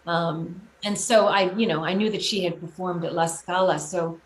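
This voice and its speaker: A middle-aged woman giving reflective, earnest interview answers about her own career, often halting and rephrasing as she goes. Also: fairly low pitch, fairly even intonation. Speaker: guest, children's music performer and teacher. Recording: roomy echo, clear background noise.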